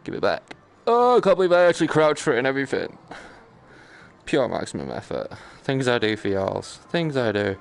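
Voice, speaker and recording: A man's voice: mid-range voice, very animated, fairly quick, gravelly. A British man giving casual live gaming commentary, grumbling sarcastically with mild swearing over background noise. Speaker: gaming streamer.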